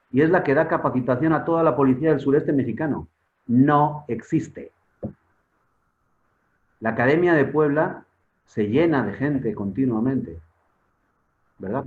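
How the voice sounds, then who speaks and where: A man's voice: mid-range voice, normal speed. A man born in Spain speaking as a guest expert in an online forum, emphatic, with rhetorical questions and repeated words while he searches. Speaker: guest expert on public security. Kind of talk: conversational lecture in an online forum. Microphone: video call. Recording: tinny phone-like online call audio.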